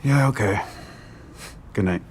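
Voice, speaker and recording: An actor playing a steady, supportive male friend in a naturalistic romantic-comedy audio drama. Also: fairly low pitch, unhurried, a little hiss or hum, lightly acted. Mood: tender, wistful.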